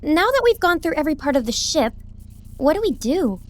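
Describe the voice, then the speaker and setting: A woman playing a youthful, enthusiastic, easily awed sidekick in a science fiction audiobook, with an eager, openly expressive delivery. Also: high voice, a little fast. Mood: insistent, curious.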